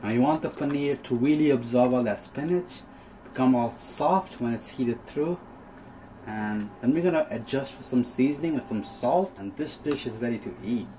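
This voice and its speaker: A man's voice: medium pitch, moderate pace, warm tone, a little hiss or hum, rough recording. A young man giving a casual, step-by-step cooking demonstration as host in a small home kitchen. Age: young adult. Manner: explains step by step.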